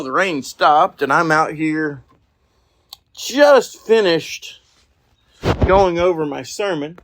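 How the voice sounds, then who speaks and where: Guy with a kinda higher voice doing a folksy preacher podcast, earnest, stop and start, talking outside. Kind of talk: informal evangelistic talk. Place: outdoors.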